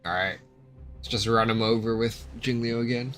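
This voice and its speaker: Male gaming streamer: average register, relaxed slangy remarks, faint background music. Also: mid-range voice, moderate pace. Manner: slangy, offhand. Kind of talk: casual game commentary.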